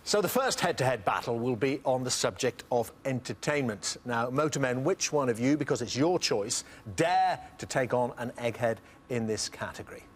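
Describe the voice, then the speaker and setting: A man hosting a studio quiz show, welcoming players, explaining rules and reading out questions in a brisk, upbeat way. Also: mid-range voice, lively intonation.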